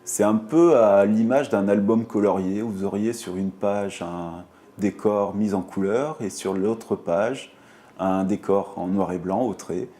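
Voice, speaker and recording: A man's voice: fairly low pitch, measured pace, warm tone. A man in an interview, methodically explaining how coloring works in animation.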